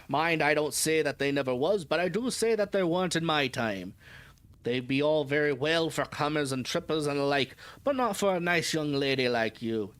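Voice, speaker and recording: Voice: medium pitch, lightly acted. A reader doing a brusque old sailor. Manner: brusque, dismissive, rustic dialect. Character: very old gruff skeptical English sailor, plain-spoken.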